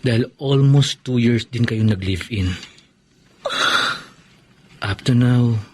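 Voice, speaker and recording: An actor playing an ardent, earnest and slightly insecure young suitor in a heartfelt Filipino radio drama style. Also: male voice, mid-range voice, slow pace, heavy background noise, natural voice. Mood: vulnerable, pleading. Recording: faint background ambience.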